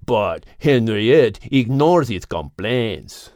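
A man voicing a restless but polite henpecked bull in a storybook, with a Spanish accent and a slow grumbling delivery. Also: fairly low pitch, big pitch swings, darkish tone, no echo, studio quality. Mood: polite, chatty.

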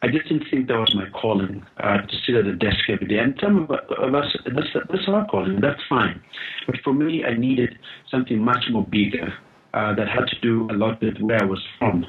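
Earnest man on a muffled call line. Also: fairly low pitch. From Uganda. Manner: earnest, pauses with frequent uh fillers and restarts. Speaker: guest, founder of a charity. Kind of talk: podcast interview, reflective storytelling. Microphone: phone or call line.

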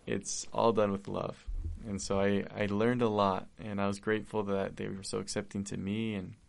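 Young man, from Argentina, earnest, low-pitched. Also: little pitch movement, creaky. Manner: earnest, heartfelt, halting with restarts. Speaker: podcast guest. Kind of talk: casual conversation.

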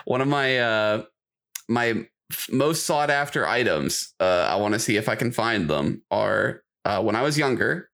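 Bright-voiced man in rambling podcast chat. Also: on the low side, lively intonation, a little slow, creaky. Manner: rambling, slangy, frequently saying "like". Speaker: podcast co-host. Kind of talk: casual chat.

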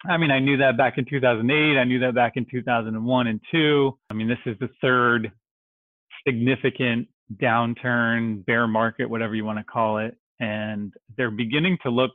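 A man's voice: medium pitch, fairly even intonation, moderate pace, no room sound. Joking male guest on a tinny line. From Texas. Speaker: guest, real estate investor. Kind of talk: podcast interview.

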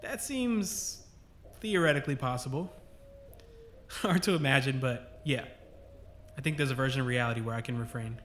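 A man's voice: medium pitch, normal speed, long pauses, fairly bright tone, soft background music, natural voice. A man playing a doting, joking father with a wry, rambling manner in an audio drama. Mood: wry, tender.

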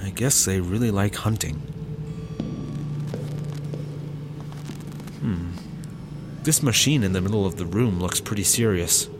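A man's voice: deep voice, normal speed, noisy background, lightly acted. A man playing a nervous, polite, naive guy in a comedy audio drama, hesitant and apologetic. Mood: wary curiosity.

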